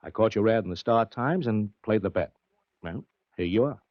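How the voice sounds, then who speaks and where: A man's voice: lowish voice, lively intonation, normal speed, dry recording, lightly acted. A man playing a worried, tough, streetwise betting agent in a 1940s radio drama, talking in slang. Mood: worried, frustrated. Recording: dry, muffled vintage radio recording.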